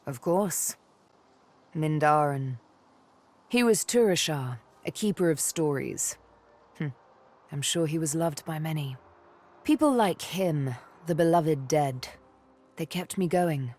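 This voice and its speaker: A woman's voice: low voice, animated, darkish tone, exaggerated voice. A woman playing a sly, bitter elf assassin and former slave in a video game, with a teasing, menacing way of telling things. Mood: wistful, bitter. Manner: slow, teasing, menacing storytelling delivery. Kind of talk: video game character acting. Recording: music behind the voice.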